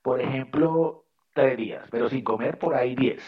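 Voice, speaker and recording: A man's voice: mid-range voice, moderate pace. Playful man, distant dull sound. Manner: playful, jokey. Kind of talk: casual radio chat.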